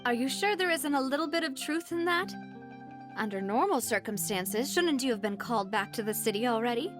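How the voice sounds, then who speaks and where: A woman's voice: a little high, animated, fairly quick, music in the background, close to an everyday voice. A woman voicing a poised, determined young gang leader in a video game, composed in manner. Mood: teasing. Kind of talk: video game voice acting, dramatic dialogue.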